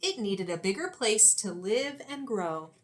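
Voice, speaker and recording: Expressive woman teacher reading to children. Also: mid-range voice, measured pace. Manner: clear, expressive, instructive delivery for young children. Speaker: elementary school teacher. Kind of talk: children's storybook read-aloud and lesson. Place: small indoor classroom-like room.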